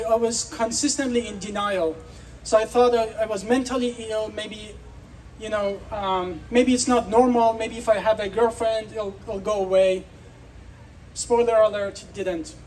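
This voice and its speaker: A man's voice: sits high, darkish tone. Man from Iran, persuasive festival speech, distant mic, faint background noise. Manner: earnest, persuasive, addresses crowd with questions. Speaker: speaker. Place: outdoor festival.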